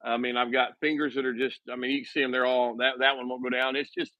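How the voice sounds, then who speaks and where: A man's voice: mid-range voice, flat delivery, dry recording, lo-fi sound. A man in his fifties, a guest making casual conversation, who often restarts his sentences and jokes at his own expense. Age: 50s. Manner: halting, frequent restarts; self-mocking humor.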